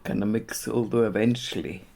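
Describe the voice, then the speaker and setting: A woman's voice: extremely low pitch, moderate pace, gravelly, warm tone, a little hiss or hum. Older Austrian woman reminiscing. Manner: hesitant, many fillers and restarts. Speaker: interviewee. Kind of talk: reminiscing interview.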